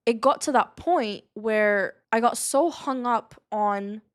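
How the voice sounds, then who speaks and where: A woman's voice: fairly high pitch, slow. Chatty confiding woman in her twenties. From Latvia. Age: 20s. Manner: chatty, confiding, many "like"s, brief laughs. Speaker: vlogger. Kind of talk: candid personal chat to camera.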